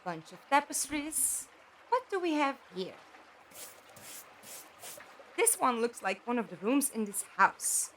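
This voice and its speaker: A woman's voice: fairly high pitch, very animated, slow pace, pauses often, a bit bright, full character voice. Audio drama role, actress: playful, curious woman talking to herself, swooping intonation, slightly noisy recording. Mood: inquisitive. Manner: chatty, inquisitive self-talk. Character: curious, playful female explorer musing to herself.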